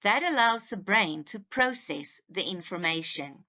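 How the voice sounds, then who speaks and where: A woman teaches in a measured, unhurried way, with clear emphasis on key points. Her voice is bright and her pitch moves a lot as she addresses parents directly. The recording is slightly dull and lo-fi. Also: expressive. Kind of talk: slide presentation lecture, instructional.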